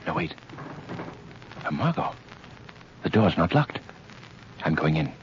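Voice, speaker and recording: A muffled old 1930s radio drama with clear noise carries a calm gentleman sleuth. His voice is exceptionally deep, and he speaks gravely and with measured authority. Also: heavily acted. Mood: calm, guarded.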